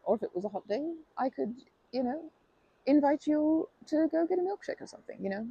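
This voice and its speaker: ASMR role: polite, overly formal female stranger played by a woman, rambling with fillers, gravelly low-bright tone, lively pitch. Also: brisk, no room sound, muffled recording. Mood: nervously flirty. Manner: rambling, formal phrasing, many fillers and self-corrections. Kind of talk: ASMR roleplay.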